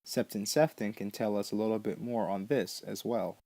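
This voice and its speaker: A man's voice: on the low side, fairly even intonation, normal speed, vocal fry, mellow, no room sound. A man hosting a podcast, giving scripted but conversational explanatory commentary. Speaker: podcast host.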